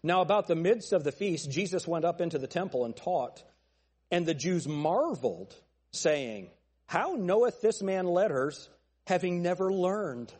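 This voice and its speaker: A male pastor preaching a fiery sermon with a thin, nasal voice, pressing his points with forceful, repeated phrases. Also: high voice, big pitch swings, a little slow, breathy edge, long reverb tail. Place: church sanctuary.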